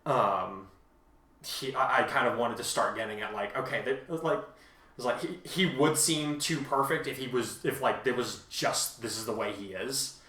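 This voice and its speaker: Rambling man, bright voice in an echoey room. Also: mid-range voice, distant mic. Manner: rambling, self-correcting, halting mid-thought. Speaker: host and fan fiction author. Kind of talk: casual fan discussion.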